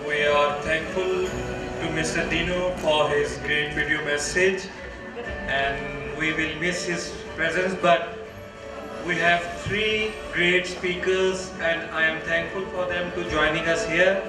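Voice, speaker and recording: A man's voice: a little high, steady tone, slow, echoey room, recorded from a distance, over music, poor audio. A man with a Pakistani English accent moderating a conference panel, reading out speaker bios in a playful, loose way. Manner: playful, reads bios with fillers.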